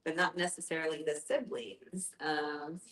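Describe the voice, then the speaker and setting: A woman with a low-pitched voice talks informally to a group. Her manner is joking and self-aware, and the room gives her voice a clear echo. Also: steady tone, unhurried, fairly bright tone. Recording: echoey room.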